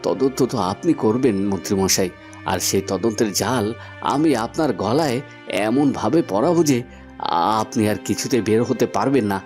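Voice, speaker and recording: A man playing a brave, vengeful young cowherd hero in a fairy-tale cartoon, speaking deliberately and with quiet menace. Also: fairly low pitch, soft background music, lightly acted. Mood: secretly resolute.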